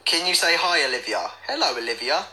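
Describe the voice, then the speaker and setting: A man's voice: a little high, very animated, fairly quick, bright, forceful tone, slight background noise, poor audio. A young man chatting casually on a livestream, reading out comments in a playful, silly manner. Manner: playful, silly, breaks into bits of song. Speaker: livestream host.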